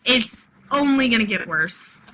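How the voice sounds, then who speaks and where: A woman reading a novel aloud in an expressive voice, then chatting casually with laughs and some swearing. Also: on the high side, unhurried, low-quality recording. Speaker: reader.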